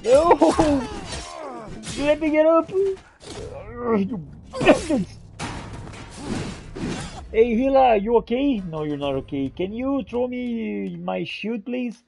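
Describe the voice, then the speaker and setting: Slow, creaky-voiced man playing a game. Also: very high voice, lively intonation, a little mellow, a little hiss or hum. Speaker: gamer roleplaying as a character in a playthrough. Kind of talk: in-character gameplay commentary, thinking aloud.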